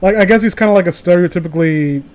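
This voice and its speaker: A man with a very high voice hosts a casual group chat on a stream. He rambles excitably and circles around his thoughts as he goes. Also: quick pace. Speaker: host of a live group stream.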